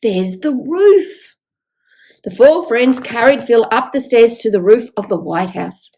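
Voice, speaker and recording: An older woman reading a picture book aloud to young children in an expressive storytelling manner. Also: on the high side, moderate pace. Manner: expressive, with exaggerated dialogue.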